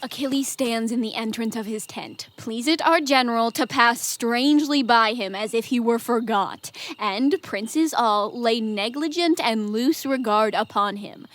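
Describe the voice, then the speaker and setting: A young woman in a Shakespearean audio drama, playing a shrewd male Greek commander with deliberate, rhetorical delivery. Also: fairly high pitch, fairly bright tone. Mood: scheming, advising. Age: young adult. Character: Ulysses, shrewd, wily Greek commander, a man.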